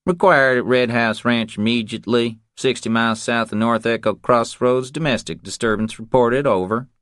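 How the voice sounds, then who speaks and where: A man's voice: mid-range voice, fairly even intonation, normal speed, fairly bright tone, no room sound, studio quality, voice pushed up, lightly acted. A man as a clipped police radio dispatcher. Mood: clipped, official. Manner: clipped, formal radio procedure.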